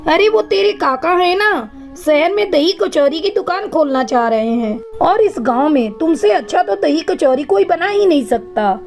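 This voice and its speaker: A woman's voice: very high-pitched, animated, moderate pace, gravelly, hardly any pauses, exaggerated voice. A woman voicing a helpful, bustling neighbour bird auntie in a cartoon, with emphatic, familiar talk. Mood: brisk, helpful. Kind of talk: Hindi cartoon moral-story dubbing. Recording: music behind the voice.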